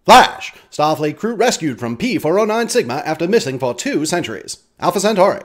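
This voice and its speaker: A man's voice: on the high side, sing-song, a little fast. A man playing a hologram of an early twentieth-century radio commentator, reading news flashes in a clipped, urgent, punchy style. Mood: urgent, brisk. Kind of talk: 1940s radio newscast acting.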